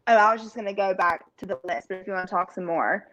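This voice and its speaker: A woman's voice: medium pitch, fast talker, crowd noise. Chatty young woman, dull lo-fi audio. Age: late 20s. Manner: eager, chatty, leans on "like". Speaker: sports show co-host. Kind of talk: casual sports talk.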